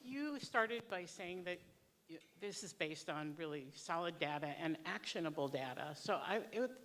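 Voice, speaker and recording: A woman from a community organization asking an earnest, measured question on a microphone at a public Q&A. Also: lowish voice, lively intonation, a bit bright. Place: synagogue hall. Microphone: handheld microphone.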